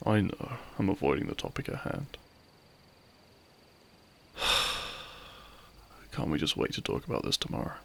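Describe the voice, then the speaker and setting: A man acts out a runaway royal living as an outlaw in a romantic audio roleplay. He speaks slowly and softly with breathy sighs, his tone swinging expressively between weariness and tender pleading. A faint hiss sits behind the voice. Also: low voice, wildly swooping pitch, heavily acted. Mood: weary, reluctant.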